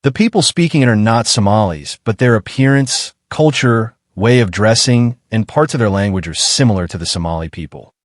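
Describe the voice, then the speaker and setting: A man narrates a documentary in a low, steady voice, measured and explanatory, talking straight to his viewers. The voice-over is close and dry, with no room sound at all. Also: no room sound.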